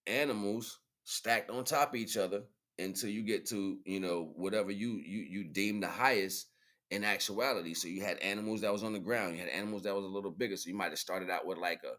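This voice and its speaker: A man's voice: low voice, a little fast, fairly bright tone. A man giving casual livestream commentary in informal, slangy talk, repeating phrases for emphasis as he hosts. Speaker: livestream host.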